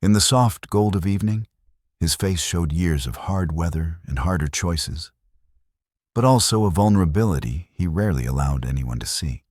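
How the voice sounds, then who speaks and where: Very deep-voiced male storyteller. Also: expressive, moderate pace, darkish tone, dry recording, clean studio sound. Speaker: storyteller narrator. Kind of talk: scripted audiobook narration of a Western romance.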